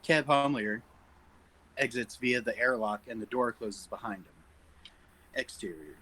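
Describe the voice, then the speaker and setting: A man with a very bright, forward voice reads screenplay stage directions at a table read. He works through scene headings and action as scripted narration. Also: mid-range voice, a little slow, rough recording.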